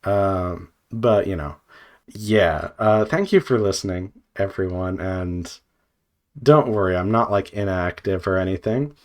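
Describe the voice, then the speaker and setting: A man with a low voice speaks casually and without a script. He thinks aloud in a rambling, loosely organized way, pausing often and leaning on filler words as he feels his way through each thought. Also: darkish tone. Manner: hesitant, frequent filler "like" and trailing pauses. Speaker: video creator, indie visual novel developer. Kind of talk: unscripted casual vlog monologue.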